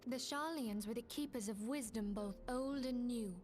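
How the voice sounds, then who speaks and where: A woman's voice: fairly high pitch, moderate pace, a little mellow, faint music behind, lightly acted. An actress playing a gracious, earnest lady leader in a fantasy video game, speaking formally as she explains lore. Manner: formal, expository storytelling.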